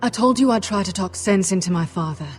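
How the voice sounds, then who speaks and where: A woman's voice: mid-range voice, a little fast, soft background music, natural voice. An actress playing an earnest young noblewoman in a video game, formal and diplomatic. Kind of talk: dramatic video game acting.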